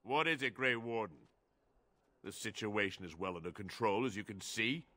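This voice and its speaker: Stern older guard captain in a fantasy game, barking, forceful, swings up and down a lot. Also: male voice, on the low side, animated, moderate pace. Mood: grudging. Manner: authoritative, curt, commanding.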